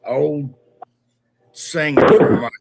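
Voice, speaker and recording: A man's voice: a little high, takes it slowly, a little mellow. A man in his late forties chatting casually with friends, swearing freely and talking with enthusiasm. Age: 40s. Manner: gravelly, swearing, enthusiastic about country music. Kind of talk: casual chat.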